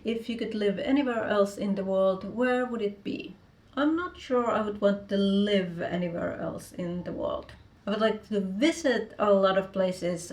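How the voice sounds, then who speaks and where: A Finnish-accented woman, a native Finnish speaker, works through a list of vlog questions. Her pitch sits in a middle range for a woman, and she keeps her answers short and matter-of-fact. The room around her has a noticeable echo. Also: darkish tone. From Finland. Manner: reads each question, then answers in short plain sentences. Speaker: author vlogger. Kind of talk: casual answers to a video tag. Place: home room with bookshelves.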